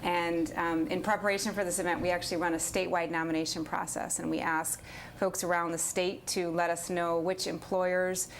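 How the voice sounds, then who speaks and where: A woman, an executive director, explaining her organization's work as an interview guest in a steady, informative way with frequent fillers. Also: fairly low pitch, moderate pace, a little hiss or hum.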